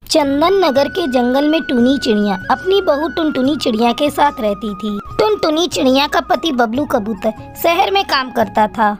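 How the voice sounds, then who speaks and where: A woman reading scripted narration for a children's cartoon story. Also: high-pitched.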